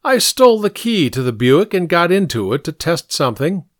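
A smug teenage boy acted out by a male narrator, pitched up and prone to squeaks. He speaks in lofty, formal phrases with indignant dignity, as in an audiobook story, with lively intonation and a dry, close sound. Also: a little slow, no room sound. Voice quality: squeaky, breaking adolescent voice. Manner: formal, dignified, precise wording. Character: smug, lofty fourteen-year-old boy genius, indignant.

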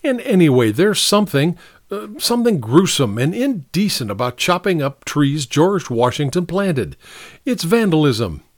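A sharp young woman from a storybook, acted out by a male reader. She is jealous and haughty, speaking with indignant scorn. Her pitch moves freely and the sound is dry. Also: sing-song, a little slow, no room sound. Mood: disapproving.

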